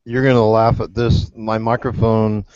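A man with a bassy voice, joking with amusement about speaking into a cheap karaoke game microphone. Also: little pitch movement, moderate pace, darkish tone. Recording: dry, dull lo-fi karaoke mic.

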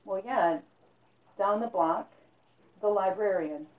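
A woman's voice: mid-range voice, takes it slowly, recorded from a distance, lightly acted. A woman voicing a helpful male innkeeper who gives offhand, easygoing directions. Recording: tinny phone-like sound, roomy echo.

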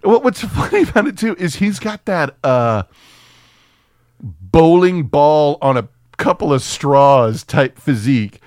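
A man's voice: fairly high pitch, sing-song, takes it slowly, darkish tone, no echo, studio quality. A man co-hosting a film podcast, chatting casually and comedically in a profane, jokey, rambling way.

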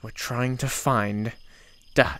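A man's voice: mid-range voice, steady tone, takes it slowly, fairly bright tone, no room sound. A man playing a paranoid, petulant crowned ruler in a comic roleplay, stammering and repeating himself as he gives orders. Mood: flustered, bossy. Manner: stammering, bossy, repeats words when flustered. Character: petulant, paranoid male crowned ruler Bobby, bossy and flustered.

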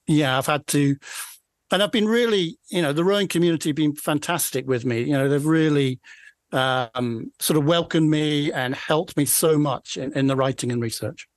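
A man's voice: a little high, moderate pace, dry recording, clean studio sound. A man in his forties with a Scottish Aberdeenshire accent, chatting as an author guest in a hesitant, self-deprecating way. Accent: Scottish, Aberdeenshire Doric. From Aberdeenshire, Scotland. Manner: hesitant, many fillers and restarts, self-deprecating. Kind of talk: casual interview chat.

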